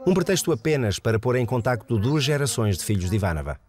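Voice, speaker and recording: A man with a European Portuguese accent narrates a news report briskly, reading from a script. His voice sits at an ordinary middle pitch. Every word is cleanly articulated. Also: sing-song, a little mellow.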